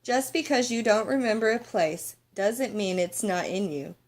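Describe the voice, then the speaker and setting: A woman's voice: mid-range voice, normal speed, close to an everyday voice. Storybook grandmother voiced by a woman reader: elderly, loving, dreamy, reminiscing in poetic phrases. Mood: reassuring.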